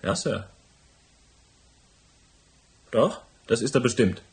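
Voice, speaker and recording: A man's voice: mid-range voice, expressive, slow. A man playing a sly, obliging hotel bellboy in a noir radio drama, cheeky and casually deferential. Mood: eager, recalling.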